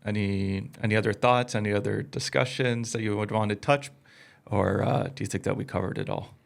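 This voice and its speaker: A man's voice: fairly low pitch, fairly even intonation, brisk, vocal fry. A man hosting a casual interview, chatting in an informal, enthusiastic way. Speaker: podcast host.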